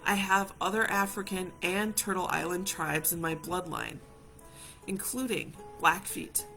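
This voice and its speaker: Reassuring woman over soft guitar music. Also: lowish voice, steady tone, unhurried. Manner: reassuring, repeats phrases for emphasis. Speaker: community healer hosting a live session. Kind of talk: gentle reflective guided talk to viewers.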